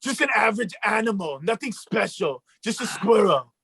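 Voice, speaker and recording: Man doing a puppet villain, male, mustached, husky, high-pitched, shouty, boastful. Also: expressive, moderate pace, no echo, full character voice. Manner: shouting, bragging, mock-villainous. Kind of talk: comedic puppet bit on a talk show.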